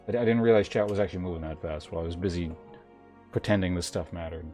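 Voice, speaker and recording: A man's voice: low-pitched, fast, darkish tone. Rambling male game streamer over music. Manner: rambling, thinking aloud. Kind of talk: casual livestream chat, reading game text aloud. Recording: music behind the voice.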